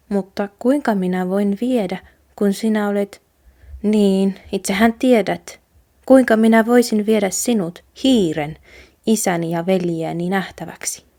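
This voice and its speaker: A woman reads a humble young man from a storybook folk tale. He sounds downcast and hesitant, with a soft mellow tone. The delivery is gentle and unsure. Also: mid-range voice, lightly acted.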